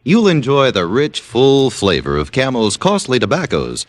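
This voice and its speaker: A man's voice: medium pitch, huge pitch swings, moderate pace, no room sound. A man with an American accent delivering a scripted 1950s radio commercial. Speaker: commercial announcer. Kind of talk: 1950s radio cigarette ad read.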